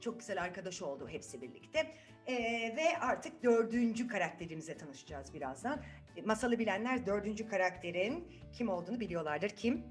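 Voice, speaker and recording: A woman's voice: mid-range voice, big pitch swings, fairly quick, soft background music. A woman storyteller narrating a fairy tale to children, putting questions to listeners and repeating phrases for suspense.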